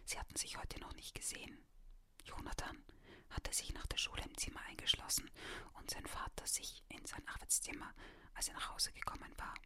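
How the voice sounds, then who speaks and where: An audiobook narrator reads a novel for young people entirely in a whisper. The voice has no full tone, only breath, with a bright, sharp edge. The delivery is scripted storytelling. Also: a little fast. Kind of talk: whispered reading of a youth novel.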